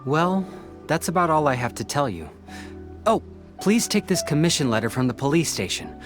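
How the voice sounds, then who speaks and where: An actor playing an earnest, polite young male detective's assistant in a video game, with an expressive, pleading manner that is easily exasperated. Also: a little high, moderate pace, natural voice. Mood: earnest, explaining. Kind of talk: English video game voice acting. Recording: background music under the dialogue.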